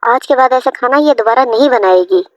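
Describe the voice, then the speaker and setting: A woman's voice: a little high, a little fast, no echo, lightly acted. A woman voicing an arrogant, bossy older mother-in-law in a family cartoon, scolding and imperious. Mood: commanding.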